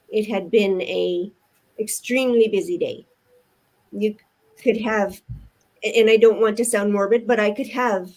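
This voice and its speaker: A woman's voice: mid-range voice, a little mellow. Earnest older Canadian woman telling a story. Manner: earnest, thoughtful storytelling with pauses. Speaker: online business owner, co-host. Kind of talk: casual chat.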